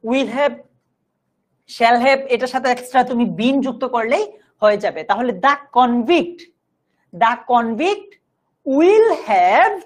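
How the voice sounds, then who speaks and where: A woman with a Bangladeshi accent teaching a live online grammar class, explaining step by step, slowly dictating examples and checking that students follow. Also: high voice. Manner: instructive, repeatedly checking understanding, slowly dictating example sentences.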